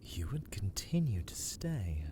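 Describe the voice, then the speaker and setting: A man playing an ancient vampire lord in a dark fantasy ASMR roleplay, imperious and coldly courteous, with theatrical, archaic phrasing that often falls into whispers. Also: deep voice, big pitch swings, a little slow, noisy background. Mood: proud. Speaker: voice actor in audio roleplay.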